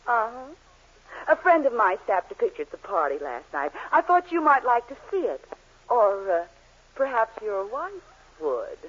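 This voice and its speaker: Gushing, flirty gold-digger, swooping pitch. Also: female voice, sing-song, a bit bright, husky, exaggerated voice. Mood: coy, sly. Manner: coy, cooing, drawn-out endearments. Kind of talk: 1940s radio comedy acting. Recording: muffled, scratchy 1940s radio recording.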